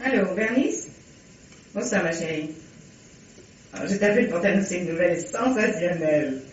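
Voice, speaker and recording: A woman's voice: medium pitch, natural voice. An actress playing a self-assured, blunt woman novelist in a radio mystery play, with articulate theatrical delivery. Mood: polite, pleased. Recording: dull, roomy, noisy old radio recording.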